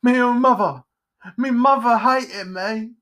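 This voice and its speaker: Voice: medium pitch, slow, fairly bright tone, voice pushed up. A narrator voicing a trembling, self-pitying pumpkinhead creature in a storybook tale, given to wailing and melodramatic moaning.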